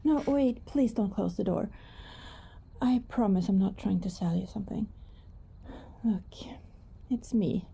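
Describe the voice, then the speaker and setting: Breathy, dark-toned shy vampire woman played by an actress. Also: mid-range voice, unhurried, pauses often, exaggerated voice. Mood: nervous pleading. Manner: hesitant, soft-spoken, apologetic, breathy. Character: shy, secretive young female vampire neighbor, politely pleading. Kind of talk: ASMR roleplay.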